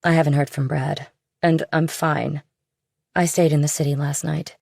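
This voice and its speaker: Woman acting a evasive young woman, low gravelly fry, casual, defensive, audiobook thriller dialogue. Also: steady tone, normal speed, darkish tone, no room sound, studio quality. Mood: groggy, casual.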